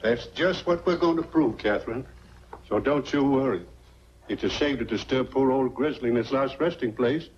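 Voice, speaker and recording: An actor playing a weary, remorseful old small-town doctor in a 1940s film, plainspoken and folksy. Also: male voice, mid-range voice, normal speed, a little mellow, slight background noise, natural voice. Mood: gently reassuring. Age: older. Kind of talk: 1940s film noir acting. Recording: dull 1940s film soundtrack.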